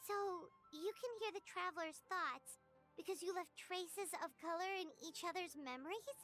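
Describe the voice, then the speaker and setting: A woman voicing a tiny, childlike fairy companion in a video game, squeaky, perky, inquisitive and outspoken. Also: moderate pace, fairly bright tone. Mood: curious. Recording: studio voice over game music.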